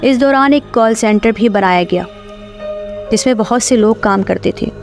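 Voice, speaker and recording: A woman's voice: on the high side, normal speed, a little mellow. A woman reading a scripted horror story as its narrator. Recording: clear music bed under voice.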